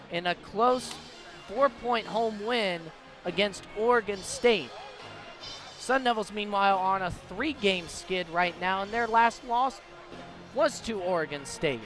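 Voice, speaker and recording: A man's voice: high-pitched, fairly bright tone. An American man doing live radio play-by-play commentary of a basketball game. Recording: live broadcast with clear background noise. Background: basketball game ambience, bouncing ball.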